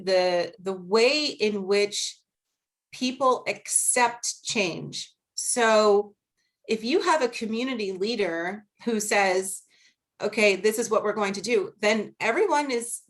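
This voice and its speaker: A woman with a Boston accent speaking as a guest researcher on a video-call panel, earnest and measured, laughing easily during questions. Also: mid-range voice. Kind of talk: academic panel talk and Q&A.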